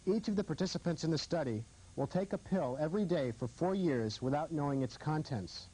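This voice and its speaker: Mellow, husky American man presenting. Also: a little high, expressive, low-quality recording. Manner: formal, measured presenting. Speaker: host and physician. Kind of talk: scripted TV narration.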